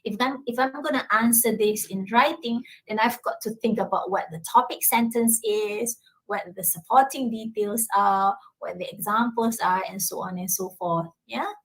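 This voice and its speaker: A woman's voice: medium pitch, normal speed, rough recording. A woman lecturer with a Malaysian English accent, answering audience questions at a talk and checking understanding with frequent tag questions. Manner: frequent tag questions like "yeah?" and "all right?". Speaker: university lecturer.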